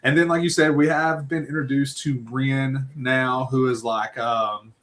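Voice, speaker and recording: A man's voice: mid-range voice, steady tone, unhurried, a bit bright. A man co-hosting a podcast, chatting casually about a book and often ending his thoughts with "right?". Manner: rambling, many fillers and "right?" tags. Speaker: podcast co-host. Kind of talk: casual book-club chat.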